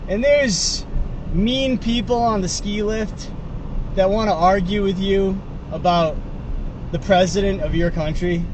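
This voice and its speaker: A man from the United States delivers a sarcastic rant straight to camera, firing off rhetorical questions. His voice sits high and swings widely in pitch. Traffic noise rumbles clearly behind him outdoors. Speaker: show host. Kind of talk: casual opinion monologue.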